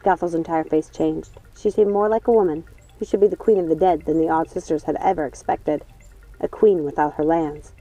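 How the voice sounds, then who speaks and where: A woman reading a story aloud as an audiobook host, in an expressive narration style. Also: sits low, fairly quick, dry recording, poor audio. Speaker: audiobook reader and channel host. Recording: music behind the voice. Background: background music.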